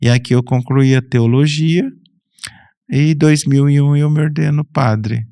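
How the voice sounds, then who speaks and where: A middle-aged man from Brazil, a Franciscan friar and priest, recounting his life in an unhurried, relaxed way, often restarting and correcting himself. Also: fairly high pitch, no echo. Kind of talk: relaxed personal storytelling.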